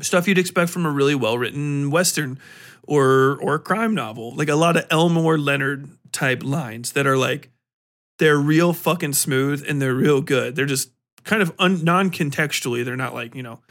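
A man talking casually as a podcast host reviewing books, slangy and full of swearing, animated and given to acting out mock exchanges. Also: a little high, normal speed. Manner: profane, slangy, informal. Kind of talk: casual rambling book review talk.

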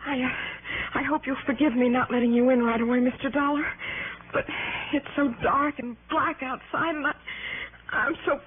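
Actress as anxious young wife: high, pleading, halting voice, melodramatic vintage radio drama, tinny broadcast sound. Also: female voice, steady tone, close to an everyday voice. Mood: frightened. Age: young adult. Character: frightened, earnest young wife of a convict. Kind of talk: 1960s radio drama acting. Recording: tinny 1960s radio broadcast, faint noise.

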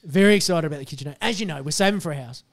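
An Australian man co-hosting a podcast in casual chat, hoarse after losing his voice, swearing and telling stories animatedly. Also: fairly high pitch, rapid-fire. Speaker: podcast co-host.

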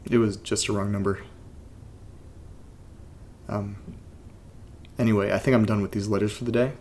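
A man playing an uneasy young man who reads strange letters aloud in a horror audio drama, halting and brooding. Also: flat delivery, a little mellow, natural voice. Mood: distracted, puzzled. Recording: faint low rumbling noise.

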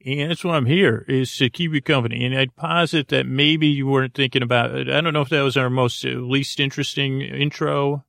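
Guy w creaky gravelly voice doing a rambly sleep podcast, halting, kinda thinking out loud, very dry sound. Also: medium pitch, fairly even intonation, no echo. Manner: hesitant, halting, repeats and circles back on words; musing, asks themselves questions. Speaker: podcast host. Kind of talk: rambling, meandering bedtime podcast monologue.

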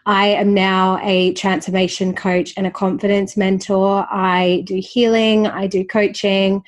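A woman's voice: mid-range voice, flat delivery, a little slow. A woman in her thirties with an Australian accent worn down by life in London, a confidence coach chatting openly as a podcast guest while getting over a slight cold. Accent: Australian, softened by years in London. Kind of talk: casual interview chat.

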